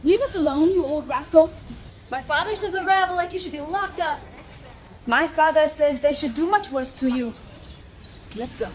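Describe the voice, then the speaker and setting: A woman's voice: sits high, lively intonation, normal speed, long pauses, a little hiss or hum. A woman playing a frank, cheeky young schoolgirl in an audio drama, speaking bluntly. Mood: scornful.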